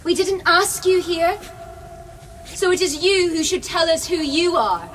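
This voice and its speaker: A woman's voice: very high-pitched, animated, a little slow, fairly bright tone, slight background noise, exaggerated voice. An actress playing a wild young woman raised among wolves in a radio drama, cool, commanding and unafraid.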